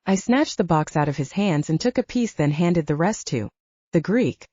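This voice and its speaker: A woman's voice: on the low side, lively intonation, moderate pace, warm tone, slightly rough, no room sound, dull audio. A woman reading scripted first-person audiobook narration.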